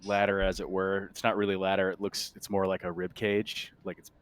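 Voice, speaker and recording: A man acting as game master, describing scenes in a casual, halting, improvised way during a tabletop game. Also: low voice, monotone, smooth, no echo.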